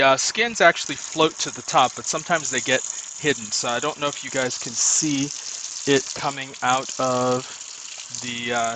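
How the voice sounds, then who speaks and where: A man's voice: mid-range voice, noisy background. A young man from Nigeria, chatting casually as he cooks in his home kitchen and explains each step. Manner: chatty, explains while working. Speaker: home cook. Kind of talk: casual cooking video.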